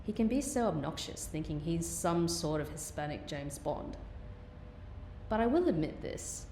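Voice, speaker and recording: A woman's voice: low voice, expressive, normal speed, dark tone, a little hiss or hum. A woman playing a wry, stubborn agent recovering from injury in an audio drama, with a dry, defiant manner.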